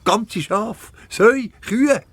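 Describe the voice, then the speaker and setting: An actor playing a grim, boastful hunter in a children's radio play, husky and dramatic. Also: male voice, high voice, slow pace, heavily acted. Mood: dramatic, menacing.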